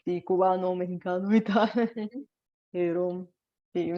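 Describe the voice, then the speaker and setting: Soft, tentative woman asking questions. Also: on the low side, fairly even intonation, slow. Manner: tentative, soft questions with many fillers and small laughs. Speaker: interviewer. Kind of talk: casual conversation in a second language. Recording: slightly dull lo-fi audio.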